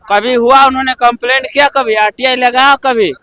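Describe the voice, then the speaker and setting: Very high, sharp-voiced Bihari man debating. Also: steady tone, normal speed. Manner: preachy, repetitive, pleads to be heard. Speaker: member of the public debating in a street interview. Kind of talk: heated street debate. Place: outdoors on a city street in Delhi.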